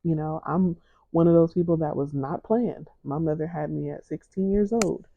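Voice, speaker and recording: A woman podcast host giving a gentle, encouraging faith-based talk in a soft, low, soothing voice. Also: moderate pace, gravelly, phone-quality sound, a little hiss or hum.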